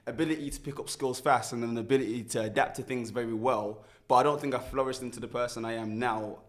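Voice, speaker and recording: Young man, mid-pitched and easygoing, thinks aloud with many restarts. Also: quick pace. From Birmingham, England. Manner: relaxed, reflective, many restarts and fillers. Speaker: guest, musician. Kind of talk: casual interview.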